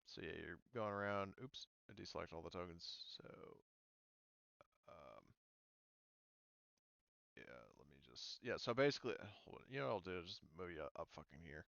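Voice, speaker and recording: A man's voice: deep voice, animated, moderate pace, vocal fry, pauses often, a bit bright, no echo, highs cut off. A man narrating a tabletop roleplaying game as game master in a casual, informal way, thinking aloud as he goes.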